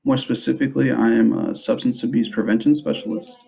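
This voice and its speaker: A young man who grew up in the United States, giving an informal presentation to parents in a hesitant, halting way, on a dull, muffled recording. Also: mid-range voice, fairly even intonation, vocal fry, warm tone. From grew up in the United States, born in El Salvador. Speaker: school substance abuse prevention specialist.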